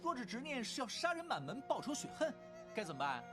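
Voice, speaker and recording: Cocky cartoon teen student, glib, bouncy pitch swings, music behind. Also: male voice, wildly swooping pitch, quick pace, heavily acted. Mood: cocky, challenging. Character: cocky, clever, playful teenage male disciple. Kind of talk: animated drama voice acting.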